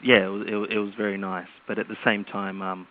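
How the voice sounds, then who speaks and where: Deep, flat man on a phone line. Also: brisk. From Australia. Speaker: author, interview guest. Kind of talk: interview answers. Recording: phone line with faint noise.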